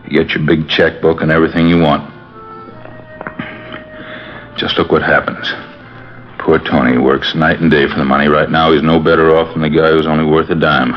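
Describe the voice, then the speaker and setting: Very deep, weary old man. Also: level tone, normal speed, pauses often. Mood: weary, brooding. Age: older. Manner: rambling, folksy, repeats himself. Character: weary, brooding elderly father-in-law who likes a drink. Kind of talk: 1950s radio drama acting. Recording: muffled vintage radio, music behind.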